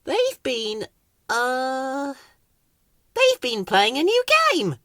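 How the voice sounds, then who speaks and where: A man's voice: very high voice, huge pitch swings, extremely slow, bright, forceful tone, no room sound, studio quality, pitched above the performer's own voice, exaggerated voice. A man voicing a sweet, chirpy female talking weed from a children's storybook, in a lilting, slightly hesitant way. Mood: hesitant, covering up.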